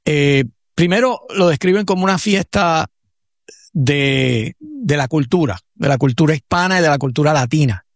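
A man's voice: a little high, expressive, normal speed, no room sound, clean studio sound. A man with a Puerto Rican Spanish accent, a co-host and professor, giving reflective talk-show commentary full of restarts and fillers.

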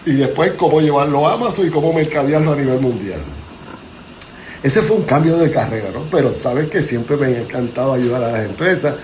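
A man's voice: mid-range voice, fairly quick, darkish tone, slight background noise. A man in his seventies from Puerto Rico with a Puerto Rican accent, a motivational speaker telling his life story as a guest in a conversational interview. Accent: Puerto Rican Spanish. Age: 70s.

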